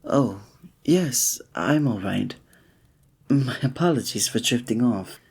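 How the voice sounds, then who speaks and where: Guy doing a gentle flirty innkeeper for audio roleplay, breathy, really expressive pitch, talks all formal and olde worlde. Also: slow pace, full character voice. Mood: tender, hopeful. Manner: formal old-fashioned diction, gentle and attentive. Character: kindly, flirty male village innkeeper, courteous and tender. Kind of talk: scripted roleplay audio drama, one-sided conversation with the listener.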